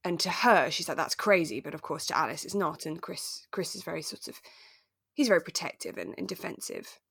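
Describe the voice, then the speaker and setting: A woman co-hosting a podcast in relaxed, conversational chat, retelling a drama and acting out bits of it. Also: on the low side, fairly quick, creaky, husky, no room sound, clean studio sound. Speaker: podcast co-host.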